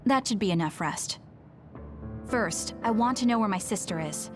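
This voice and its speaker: An actress playing a blunt, socially awkward young woman soldier in a video game, speaking in a measured, earnest, matter-of-fact way. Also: medium pitch, animated, over music, close to an everyday voice. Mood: calm, resolute. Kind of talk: English video game voice acting.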